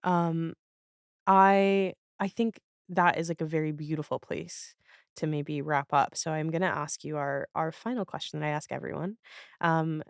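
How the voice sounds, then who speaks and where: A woman's voice: deep voice, fairly even intonation, normal speed, clean tone, no room sound, studio quality. A woman podcast host in casual conversation, speaking in a reflective, encouraging way with tentative phrasing.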